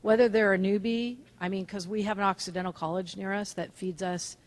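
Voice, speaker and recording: A woman who owns a clinic, speaking loudly from the audience at a conference with many "um" fillers. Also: medium pitch, fairly even intonation, brisk. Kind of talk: sharing experience from the audience. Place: conference room.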